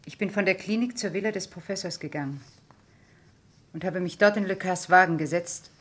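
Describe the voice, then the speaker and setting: A woman's voice: lowish voice, brisk, fairly bright tone. An actress playing a resigned woman doctor under interrogation in a radio crime drama, answering tersely and solemnly.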